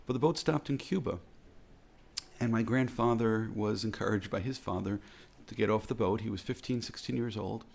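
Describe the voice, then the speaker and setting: A man with an American accent, a rabbi giving an earnest, measured reflective talk that repeats phrases for emphasis. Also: lowish voice, vocal fry, a little mellow, slightly rough. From United States.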